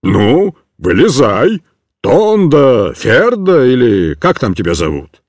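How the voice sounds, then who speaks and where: A man's voice: medium pitch, huge pitch swings, slow, darkish tone, dry recording, studio quality, pitched below the performer's own voice. A man voicing a stern, commanding police sergeant in a storybook reading. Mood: bellowing. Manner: gruff, commanding.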